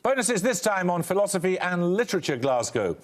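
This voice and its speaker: A man hosting a television quiz show, reading questions and introductions in a crisp, dry, authoritative way with wry asides. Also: high-pitched, sing-song, brisk, some chatter behind. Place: television studio.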